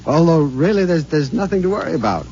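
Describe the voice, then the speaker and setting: Henpecked husband, middle-aged, giddy and secretive, stammers; lively pitch, vintage radio drama. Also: male voice, quick pace, faint music behind. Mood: confiding. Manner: stammering, confiding, gleeful. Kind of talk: 1950s radio acting. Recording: dull 1950s radio recording, faint hiss.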